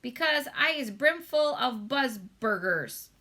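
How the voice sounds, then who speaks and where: A woman voicing a big, gentle storybook giant who speaks wistfully in muddled, folksy grammar. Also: high voice, lively intonation, slow pace, pitched above the performer's own voice, heavily acted. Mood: grumbling.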